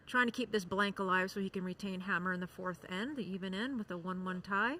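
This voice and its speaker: Male color commentator, high and bright voice, joking and light, faint arena hum. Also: a little fast. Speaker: curling commentator. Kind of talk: sports commentary.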